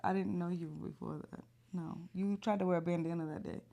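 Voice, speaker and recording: A woman's voice: on the low side, fairly even intonation, fairly quick, vocal fry, warm tone. A woman in her thirties co-hosting a podcast, teasing her husband with dry comebacks. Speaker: podcast co-host. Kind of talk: casual chat.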